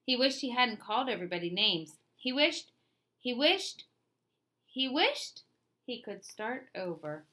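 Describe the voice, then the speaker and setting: Bright-voiced woman reading a picture book. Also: fairly high pitch, measured pace. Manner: expressive, lively storytelling. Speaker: children's storytime reader.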